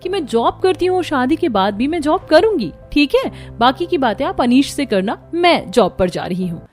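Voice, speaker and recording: A haughty young sister-in-law from a cartoon family story, acted by a woman. Her voice is high, and she talks in a brisk, dismissive, entitled way, fully sure of herself. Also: very animated, darkish tone, soft background music. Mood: haughty, impatient. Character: young working sister-in-law, haughty, bossy, self-assured.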